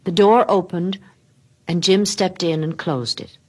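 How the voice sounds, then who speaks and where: Low, husky woman reading expressively. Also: steady tone. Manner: theatrical, with dramatic pauses and hushed drops. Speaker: story reader. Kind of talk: expressive literary narration.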